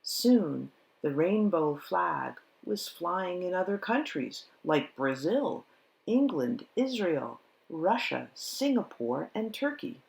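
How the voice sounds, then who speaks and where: A woman reading a children's picture book aloud in measured, deliberate phrases with dramatic pauses. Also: lowish voice, big pitch swings, gravelly, darkish tone. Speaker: storybook reader at a church service.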